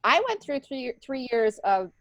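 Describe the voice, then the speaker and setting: A middle-aged American woman chatting casually as an interview guest, talkative and quick to laugh. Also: fairly high pitch, expressive, no echo. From upstate New York, United States. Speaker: podcast guest, stand-up comedian and writer.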